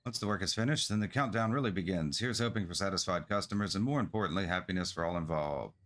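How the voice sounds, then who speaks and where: A man's voice: deep voice, fast talker, fairly bright tone. A man reading the lines of an eager, earnest, businesslike travel agency entrepreneur from a fantasy game. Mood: hopeful, eager. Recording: music behind the voice.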